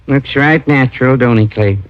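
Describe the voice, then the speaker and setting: An actor playing a matter-of-fact rural American country doctor and coroner in an old-time radio drama. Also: male voice, medium pitch, normal speed, natural voice. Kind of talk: 1940s-50s radio acting. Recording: muffled old radio recording.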